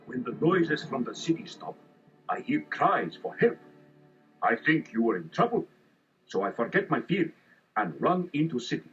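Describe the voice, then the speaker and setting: An actor playing a superstitious, friendly, timid male desert guide with a foreign accent and halting, dramatic broken English in a children's audio storybook. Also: on the high side, sing-song, fairly bright tone, breathy edge, heavily acted. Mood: earnest. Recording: dull lo-fi tape, music behind.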